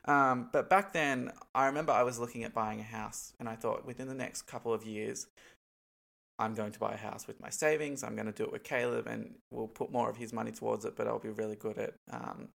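A young Australian man talks loosely to camera, his nose blocked up and stuffy. His voice carries a gravelly fry at the ends of phrases, and he rambles through ums and likes as he goes. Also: mid-range voice, fairly even intonation, a little fast, a bit bright. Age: young adult. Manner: rambling, many ums and likes, laughs between points. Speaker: vlogger. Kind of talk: casual to-camera chat.

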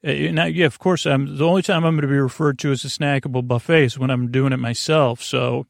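A man hosting a bedtime podcast in a creaky voice, meandering and halting through soothing, rambling tangents. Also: mid-range voice, fairly even intonation, no room sound, studio quality. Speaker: podcast host.

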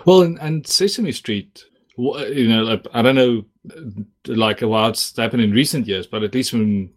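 A man's voice: mid-range voice, moderate pace. A man from South Africa, a native Afrikaans speaker, hosting a casual podcast in a chatty, rambling way with many likes. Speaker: podcast host.

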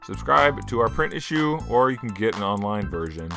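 Deep-voiced man with a bright edge. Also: very animated, moderate pace, vocal fry, faint music behind. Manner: rambling, many fillers and restarts. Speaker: podcast host. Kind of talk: casual podcast chat.

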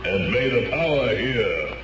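An actor playing a charismatic, solemn faith healer in a radio drama, speaking in a ceremonial, oratorical way. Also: male voice, mid-range voice, very animated, unhurried, muffled recording. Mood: grandiose, ceremonial. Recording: echoey old radio, music behind.

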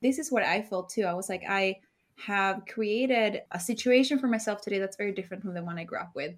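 A woman from Sweden with a medium-pitched voice talks as an interview guest. She is confident and conversational. Her sentences are sprinkled with "like" and "sort of" as she explains herself. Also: fairly quick. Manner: confident, uses "like" and "sort of" often. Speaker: guest, startup founder and CEO.

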